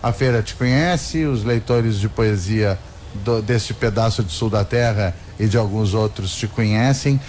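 A man's voice: on the low side, unhurried, a little mellow, a little hiss or hum. A man hosting a book fair interview, talking effusively and digressively, with many restarts. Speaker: host.

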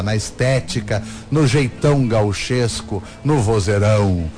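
A man hosting a book fair interview, talking effusively and digressively, with many restarts. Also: mid-range voice. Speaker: host.

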